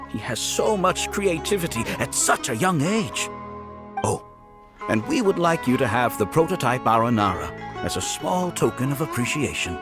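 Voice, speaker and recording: A man voicing a friendly, eager-to-please shopkeeper in a video game, courteous and expressive. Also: fairly high pitch, natural voice. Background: music.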